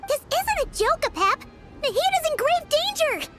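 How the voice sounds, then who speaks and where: A woman voicing a tiny, excitable, childlike fairy companion in a video game, with a squeaky, earnest delivery. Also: moderate pace. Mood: urgent pleading. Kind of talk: video game cartoon-like voice acting. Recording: echoing, loud game music behind.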